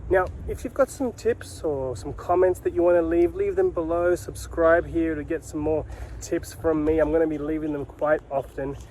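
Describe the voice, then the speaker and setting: A man's voice: a little high, moderate pace, mellow, slight background noise, low-quality recording. A man giving an instructional talk to camera as a sales coach, speaking emphatically with repeated key phrases and rhetorical questions. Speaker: sales coach and video host.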